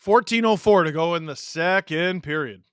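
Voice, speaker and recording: A man's voice: on the high side, normal speed, fairly bright tone, clean tone, no echo, studio quality. A man in his thirties from Canada hosting a live hockey watch-along, joking with chat and reacting to plays. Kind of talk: casual live-stream commentary.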